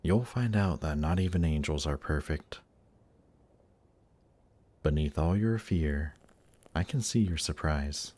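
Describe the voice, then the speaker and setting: Casting: male actor for suave demon prince of Hell, extremely low voice, deliberate and tempting, intimate fantasy audio roleplay. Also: full character voice. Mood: confiding, persuasive. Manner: slow, seductive, deliberate, gently coaxing. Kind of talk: intimate audio roleplay, scripted monologue to listener.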